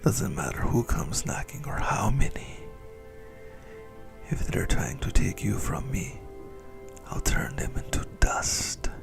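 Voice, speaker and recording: Male actor as possessive ancient vampire boyfriend, low creaky whisper, intimate ASMR roleplay, smug menace, music behind. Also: big pitch swings, takes it slowly, exaggerated voice. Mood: possessive, menacing. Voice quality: breathy, gravelly whisper. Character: possessive centuries-old male yandere vampire lover, smugly menacing.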